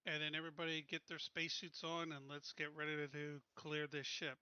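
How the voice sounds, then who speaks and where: A man's voice: fairly high pitch, completely flat delivery, fairly quick, sharp tone, clean tone, no room sound, highs cut off. A man playing a tabletop roleplaying game, casually talking through plans and questions. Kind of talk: casual game planning talk.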